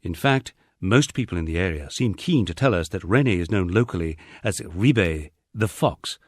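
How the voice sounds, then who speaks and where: A man's voice: low voice, animated, normal speed, no echo, clean studio sound. A man reading a humorous memoir as an audiobook, with a wry storytelling delivery. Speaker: audiobook narrator. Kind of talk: scripted narration of a humorous memoir.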